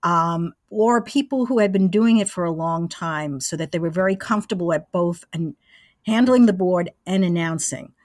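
A woman's voice: lowish voice, moderate pace, a little mellow, dry recording, studio quality. A woman in her sixties recounting her past step by step in a conversational interview, as the guest. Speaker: interview guest, former college radio engineer.

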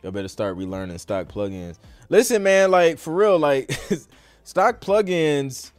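A man's voice: on the high side, very animated, unhurried, no echo, soft background music. A man hosting a podcast, talking in an informal, slangy, conversational way as in a live Q&A. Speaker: podcast host. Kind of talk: casual live Q&A chat.

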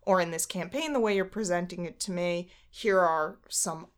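A woman's voice: on the low side, moderate pace. A woman co-hosting a casual podcast chat about tabletop games, chatty, acting out imagined players and using many fillers.

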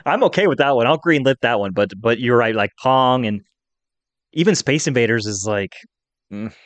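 A man's voice: medium pitch, expressive, moderate pace, no room sound. A man, an informal and opinionated podcast co-host in casual chat. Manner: informal, opinionated fan talk. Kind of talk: casual geek-culture chat.

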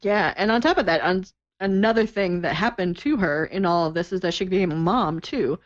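A woman's voice: lowish voice, brisk, no echo. An enthusiastic woman in her forties co-hosting a podcast in a casual, chatty way that breaks into laughter easily. Her sound is dull and lo-fi, and the room is dry. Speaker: podcast co-host.

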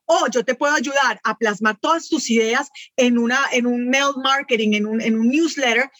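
High-voiced Colombian woman, dry sound. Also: moderate pace, no echo. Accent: Colombian with Venezuelan words. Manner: explains with lively examples. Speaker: virtual assistance expert guest. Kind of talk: casual podcast interview.

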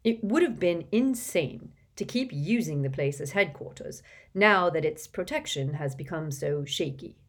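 A woman's voice: deep voice, expressive, unhurried. A woman voicing a grave, cautious wizard man who explains things seriously.

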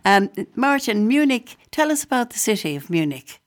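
A woman hosting a casual interview, chatty and quick to laugh. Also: a little high, very animated. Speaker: radio host.

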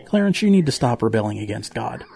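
Gravelly creak, medium pitch, earnest preachy farm pig acted by a man, admonishing, children's audio drama. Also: very animated, fast, a little mellow, faint music behind. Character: earnest, preachy male pig with a fussy nervous streak.

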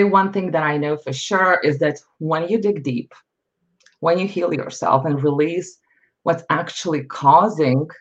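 A middle-aged woman from Lithuania, a podcast guest speaking candidly and reflectively about her life, with frequent fillers. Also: sits low. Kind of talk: personal storytelling. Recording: slightly dull lo-fi audio.

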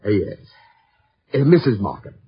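A husky, hesitant insurance broker. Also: male voice, fairly low pitch, big pitch swings, normal speed, no room sound, heavily acted. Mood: uneasy, obliging. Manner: hesitant, deferential, polite hemming. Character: short, thin, uneasy apologetic male insurance broker. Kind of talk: 1950s radio drama acting. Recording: muffled 1950s radio recording.

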